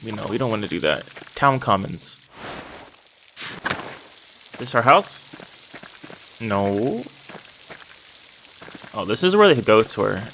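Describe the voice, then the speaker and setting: A man's voice: lowish voice, very animated, takes it slowly, long pauses, fairly bright tone, slight background noise. A man giving casual let's play commentary in muttered asides as he plays. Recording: music and rain behind the voice.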